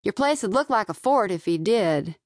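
A woman voicing a friendly middle-school boy who calls out briskly and casually in an audiobook. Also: medium pitch, expressive, no room sound, studio quality, close to an everyday voice.

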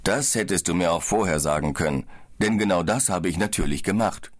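A man in a scripted audiobook dialogue, calmly explaining business manners abroad. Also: sits low, brisk. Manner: calm, explaining, slightly tired. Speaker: business traveller in a language-course dialogue.

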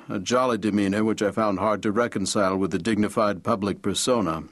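A man plays a brooding Victorian doctor in an audio drama. His voice is medium-pitched, and he speaks in eloquent, formal phrases with earnest conviction and a burden of guilt. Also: close to an everyday voice. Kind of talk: audio drama, journal narration and dialogue.

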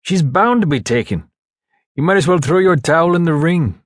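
A man voicing brash, rowdy young Navy SEALs with loud, emphatic banter in an audiobook story. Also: on the high side, expressive, normal speed, no echo, studio quality, voice pushed up. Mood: teasing, blunt.

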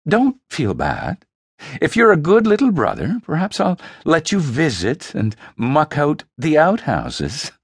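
A man's voice: on the high side, wildly swooping pitch, measured pace, vocal fry, breathy edge, no echo, studio quality, pitched above the performer's own voice, full character voice. A man voicing a smug young woman who taunts her little brother with a smirking tone. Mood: mocking. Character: smug, mocking young woman warrior, older sister.

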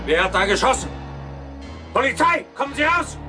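An actor playing a wry British police inspector and ghost hunter in a German audio drama, with a flippant manner. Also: male voice, very high voice, very animated, normal speed. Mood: startled. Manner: flippant, mock-obedient. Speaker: lead character and narrator. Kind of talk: German audio drama acting and first-person narration. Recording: studio audio drama with music bed.

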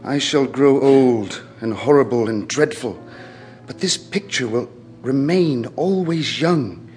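A man's voice: medium pitch, slow, a little mellow, faint music behind, natural voice. An actor playing a beautiful, vain young man in a radio drama, earnest and emotional. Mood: anguished.

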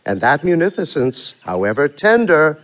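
A man's voice: on the high side, very animated, normal speed, a bit bright. A man playing a worldly, wily, talkative manservant in a theatrical verse comedy, with a sly, flourishing delivery in rhyme. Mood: sly, knowing. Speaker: actor.

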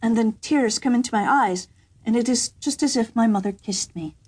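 A woman's voice: a little high, moderate pace, a little mellow, close to an everyday voice. A woman voicing a small, humble kitchen girl in a storybook reading, speaking eagerly and sweetly. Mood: earnest.

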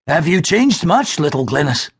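A male narrator voicing a hearty elderly gentleman who speaks warmly and expansively. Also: a little high, sing-song, husky, no room sound, studio quality, voice pushed up, exaggerated voice. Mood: jovial. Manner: warm-hearted, expansive, drawn-out.

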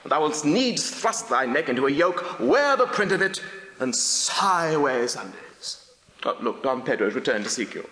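An actor playing a witty, mocking bachelor lord who scorns love, in bantering, declamatory Shakespearean stage style. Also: male voice, on the high side, very animated, normal speed, bright, forceful tone, echoey room, big-room sound. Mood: mock outraged. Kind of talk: theatrical Shakespearean stage acting.

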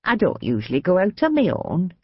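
A woman's voice: fairly low pitch, huge pitch swings, fairly quick, husky, no echo, lo-fi sound, heavily acted. A woman doing a frail, cheeky old lady. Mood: chatty. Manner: gossipy, cheeky, confiding. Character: frail chatty old northern woman, mischievous.

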